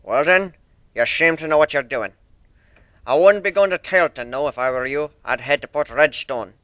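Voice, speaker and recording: Guy doing gruff old salty sailor pony, stern, deadpan, punchy voice, cartoon story style. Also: a little high, normal speed, no room sound, clean studio sound, lightly acted. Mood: blunt, advising. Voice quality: stern, slurred S sounds, rolled R's. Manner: deadpan, blunt seafaring talk. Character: grizzled old male sea dog pegasus, gruff, deadpan.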